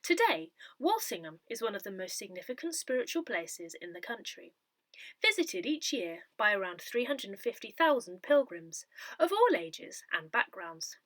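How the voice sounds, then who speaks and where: A woman narrates from a script in measured, clearly separated phrases. Her voice is bright and forward, with very lively rises and falls in pitch. The recording is close and dry, with little room sound. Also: no room sound. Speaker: reader of an audio newsletter. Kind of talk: scripted narration, reading a parish newsletter aloud.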